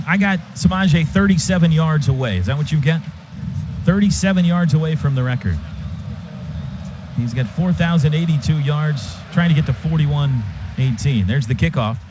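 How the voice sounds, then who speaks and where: An excited man calls football play-by-play from a stadium booth in a fairly high voice. Loud stadium noise sits behind him and the radio sound is a little dull. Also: normal speed. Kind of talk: live American football radio commentary. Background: loud crowd and stadium noise.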